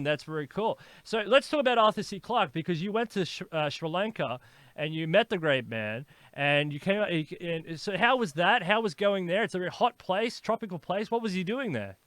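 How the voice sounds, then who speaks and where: A male podcast host asks long, animated questions, stumbling over his words and restarting often. His voice sits a little high for a man, and the sound is dry and close, with no room in it. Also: dry recording. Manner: rambling, stammering, animated questions. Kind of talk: interview questions.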